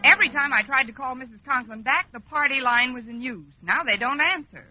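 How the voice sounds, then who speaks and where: A dry, wisecracking American schoolteacher in an old radio comedy. Her voice is fairly high and very crisp and bright, on a slightly muffled vintage recording. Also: lively intonation, a little fast, no room sound, soft background music, exaggerated voice. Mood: anxious. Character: wry, quick-witted single schoolteacher hosting dinner. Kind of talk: 1950s American radio sitcom acting.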